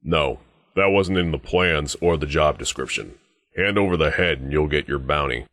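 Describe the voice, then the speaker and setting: A man voicing a gruff, menacing and suspicious guard sergeant in a video game, curt, commanding and dryly sardonic. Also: very deep voice, moderate pace, fairly bright tone, a little hiss or hum. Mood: blunt, businesslike. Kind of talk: scripted game dialogue.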